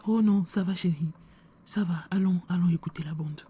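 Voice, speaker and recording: A woman playing a devout male pastor who prays earnestly and calms the people around him. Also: lowish voice, breathy edge. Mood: reassuring. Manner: soothing, slow, earnest praying. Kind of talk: church skit acting.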